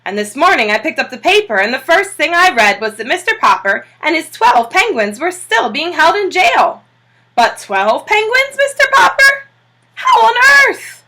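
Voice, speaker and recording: Hearty bearded admiral played by a woman reader, storybook style: emphatic, animated, forceful. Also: extremely high pitch, normal speed, pitched above the performer's own voice, heavily acted. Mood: amazed. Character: Admiral Drake, great bearded hearty explorer man.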